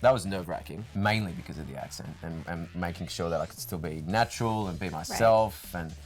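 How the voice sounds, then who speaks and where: Man, actor, very deep voice, wry, joking, faint music. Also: fairly quick. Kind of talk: casual interview. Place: indoor TV studio set.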